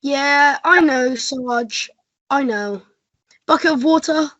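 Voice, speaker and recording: A gung-ho, hot-headed police constable acted by a woman in a radio play. The voice is high and close, dry of any room, with tough talk. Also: slow, fairly bright tone, no echo, muffled recording. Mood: sheepish. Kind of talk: melodrama radio play acting.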